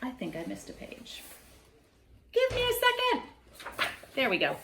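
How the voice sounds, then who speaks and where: A woman with a high, very lively voice reads a picture book aloud. She takes her time, leaning into dramatic pauses and expressive phrasing for young listeners. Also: gravelly, background noise. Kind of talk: children's story read aloud. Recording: roomy echo.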